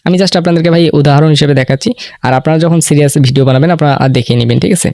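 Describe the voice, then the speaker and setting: A man hosts a casual how-to tutorial at a quick clip. He explains step by step in an informal way and keeps checking that listeners follow. His voice is recorded dry. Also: a little high, vocal fry, darkish tone, no room sound. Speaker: tutorial host.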